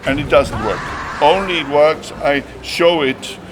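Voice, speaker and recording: A man being interviewed as a traveler, who answers in halting sentences and often restarts his words. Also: on the high side, expressive, noisy background.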